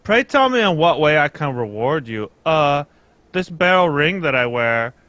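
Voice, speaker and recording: A man's voice: fairly high pitch, big pitch swings, normal speed, bright, forceful tone, dry recording. A man playing a pompous, formal king in an amateur radio drama, speaking with grand emphasis. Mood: relieved, grateful. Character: a pompous, anxious, grateful European king. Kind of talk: amateur old-time radio drama reading.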